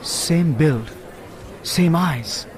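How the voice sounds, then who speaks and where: An actor with an Indian accent playing a sharp, brooding male detective in a radio drama. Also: a little high, extremely slow, background noise. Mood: excited.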